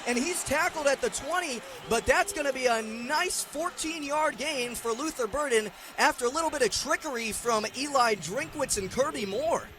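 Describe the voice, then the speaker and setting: High-pitched, excitable male play-by-play announcer, noisy broadcast. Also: moderate pace, few pauses. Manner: excitable, shouting on big plays. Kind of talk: live radio football and basketball play-by-play commentary. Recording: clearly noisy live broadcast sound.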